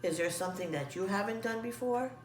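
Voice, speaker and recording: Female storybook reader, animated delivery for children, roomy sound set back from the mic. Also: mid-range voice, a little fast. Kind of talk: expressive children's story read-aloud. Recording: echoey room, distant mic, music behind.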